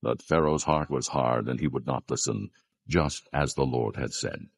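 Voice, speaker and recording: A male narrator with an extremely deep, gravelly and husky voice reads scripture. His delivery is solemn and measured, a scripted storytelling style for audio drama. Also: no room sound.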